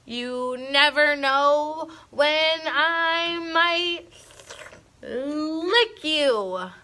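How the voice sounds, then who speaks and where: A woman's voice: high-pitched, bright, forceful tone, voice pushed up, full character voice. A woman voicing a big scruffy boy monster in a storybook, slurpy and whiny, trying to sound menacing. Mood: mock-menacing. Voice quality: slurpy, drawn-out.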